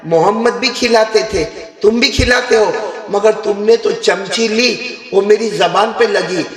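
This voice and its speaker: A suffering old blind man cries out in a high, strained voice, as a preacher acts him out. His complaints ring through a roomy hall with a long echo. It is a storytelling moment inside a sermon. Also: measured pace, a crowd in the background. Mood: aggrieved. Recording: echoey hall with long reverb.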